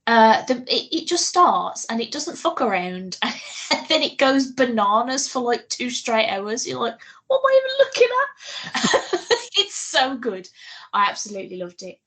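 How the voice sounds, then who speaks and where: A woman's voice: a little high, sing-song, measured pace, a bit bright. A woman chatting casually as a podcast co-host, giggly and talkative, with a loose, sweary way of talking.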